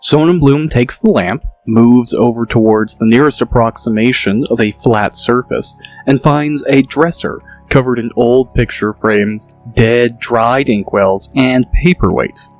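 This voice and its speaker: A man narrates a fantasy audiobook in a steady, mid-pitched voice. He lays out each scene calmly and in detail. Faint music runs underneath. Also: unhurried, few pauses. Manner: steady, descriptive storytelling.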